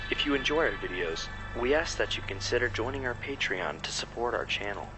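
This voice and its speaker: A man's voice: medium pitch, expressive, brisk, poor audio. A man reading a scripted channel announcement in the role of announcer. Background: prominent music.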